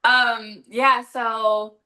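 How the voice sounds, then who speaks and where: A woman's voice: a little high, little pitch movement, very slow, background noise. A young woman from Los Angeles answering interview questions in a chatty way, with many fillers and self-corrections. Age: young adult. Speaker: actress and guest.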